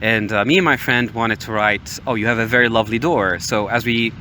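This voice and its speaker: A man's voice: on the low side, fairly quick. A young man from Morocco with an American accent, hosting a podcast and telling casual personal stories in a hesitant way. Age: young adult. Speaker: podcast host. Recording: steady outdoor-like background noise, waves. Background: ocean waves ambience.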